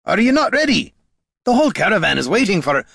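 A man voicing a gruff male fantasy quest leader in an audiobook, barking and scolding in rough, colloquial speech. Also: mid-range voice, expressive, fairly quick, bright, forceful tone, dry recording, studio quality, pitched above the performer's own voice, heavily acted. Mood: alarmed, exasperated.

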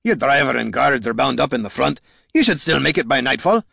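A man voicing a tough, shrewd male dwarf in an audiobook, folksy and rough-spoken. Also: medium pitch, sing-song, brisk, pushed and bright, pitched above the performer's own voice, full character voice. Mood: cheerful. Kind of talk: audiobook character dialogue.

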